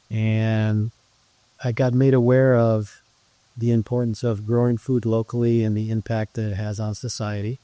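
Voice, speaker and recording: A man's voice: mid-range voice, warm tone, dry recording. A man interviewed as a guest about growing food and his ministry, speaking earnestly with frequent restarts. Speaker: guest, urban farmer and ministry leader. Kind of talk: conversational interview.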